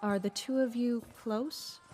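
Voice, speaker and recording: A woman playing a composed, perceptive castle guard captain in an audio drama, calm, formal and matter-of-fact. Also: on the high side, unhurried, soft background music, natural voice. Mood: curious, knowing.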